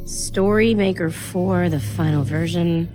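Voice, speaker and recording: A woman's voice: lowish voice, unhurried, music in the background, lightly acted. A woman playing a sardonic, curious TV news station employee in an audio drama, with wry, casual delivery. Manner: wry, muttering, casual asides. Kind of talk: naturalistic audio drama acting.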